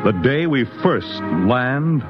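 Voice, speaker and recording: A man's voice: mid-range voice, lively intonation, takes it slowly. A man narrating as a portentous, theatrical 1950s radio announcer with scripted dramatic delivery. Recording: muffled vintage radio broadcast, loud music.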